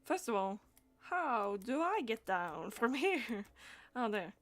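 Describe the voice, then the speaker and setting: A woman's voice: a little high, sing-song, takes it slowly, fairly bright tone, dry recording. A woman streaming a game, reading dialogue aloud and chatting as she plays, with stumbles and repeated words.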